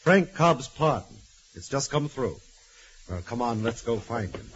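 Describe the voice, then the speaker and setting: A man's voice: medium pitch, expressive, unhurried, slightly rough. A man playing a hearty, persuasive prison warden in a 1940s radio drama. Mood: welcoming, brisk. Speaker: radio drama supporting actor. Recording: dull, noisy vintage radio recording.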